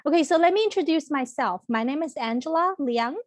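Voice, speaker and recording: A woman from Taiwan with a fairly high voice walks prospective students through a college presentation in a friendly, explanatory way, pausing on ums and restarting phrases. She speaks into a computer mic on a video call, and the sound is dry and close with no room around it. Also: expressive, dry recording. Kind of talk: online information presentation.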